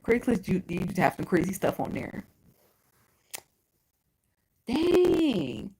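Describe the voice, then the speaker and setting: A woman's voice: mid-range voice, slow, background noise. A woman with a Chicago accent and a lisp, chatting bluntly and profanely on a livestream as its host. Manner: blunt, profane and animated, answering chat. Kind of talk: casual livestream chat.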